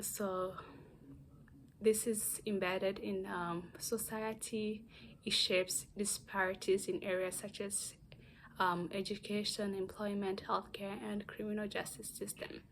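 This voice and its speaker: A young woman from the Democratic Republic of the Congo, giving a class presentation from her notes in a halting way, with many pauses and restarts. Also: medium pitch, slight background noise. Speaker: graduate student.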